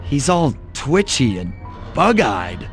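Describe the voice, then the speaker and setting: A man's voice: mid-range voice, big pitch swings, slow. A man voicing a self-assured, laid-back raccoon thief and loyal leader in a cartoon video game. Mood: concerned. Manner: laid-back, confident, casual. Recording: music behind the voice.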